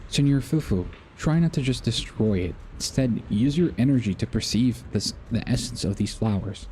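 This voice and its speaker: A man's voice: mid-range voice, expressive, moderate pace, a little mellow, slight background noise, natural voice. A man voicing an earnest, hesitant mentor from a game as he reads its dialogue aloud. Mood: patient teaching.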